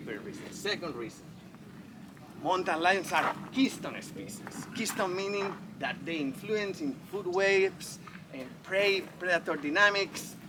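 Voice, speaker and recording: A man from Spain with a Spanish accent, a wildlife veterinarian giving a friendly, enthusiastic public talk at an outdoor festival. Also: fairly high pitch. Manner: enthusiastic, friendly, asks the audience questions. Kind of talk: public lecture. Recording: noisy outdoor festival recording.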